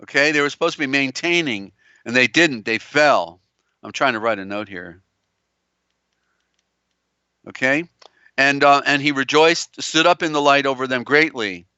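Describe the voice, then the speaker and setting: A man hosting a live-stream lecture, with a strained, tiring voice and a halting, meandering delivery. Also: mid-range voice, bright, forceful tone, smooth, dry recording, dull audio. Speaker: live show host.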